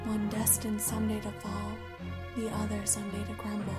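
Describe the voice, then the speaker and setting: A woman playing a cold, solemn sorceress in a fan dub, speaking in a measured, flat way. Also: mid-range voice, over loud music, natural voice. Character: cold mechanical sorceress hunting her prey, solemn. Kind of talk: dramatic fan dub narration and villain lines.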